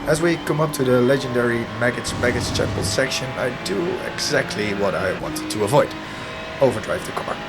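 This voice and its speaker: A hoarse man who is losing his voice narrates his own sim racing footage in an analytic, self-deprecating way. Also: mid-range voice, fairly quick. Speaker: sim racing video host. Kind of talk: commentary over gameplay footage. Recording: voice-over with music underneath. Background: background music.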